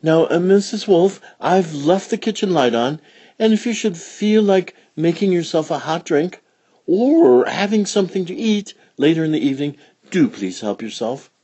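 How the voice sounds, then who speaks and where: High breathy mother pig, fussy and flustered, storybook voice, put on by a man, husky edge, swooping. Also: animated, moderate pace, warm tone. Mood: polite. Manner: bustling, flustered. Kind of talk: storybook reading.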